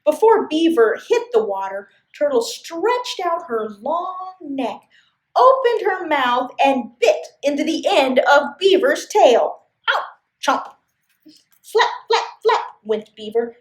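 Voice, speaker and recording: A woman reading a story to young children in an expressive, sing-song way, often putting questions to her listeners. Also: sits high, slow, reverberant. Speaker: library story time host and reader.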